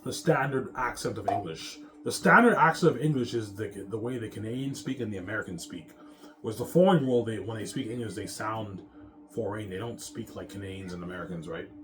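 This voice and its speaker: Low-voiced boastful man rambling over music. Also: fairly quick, a bit bright, rough recording. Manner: repetitive, circling back over phrases. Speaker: self-styled film maker talking about his movie projects. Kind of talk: casual rambling monologue.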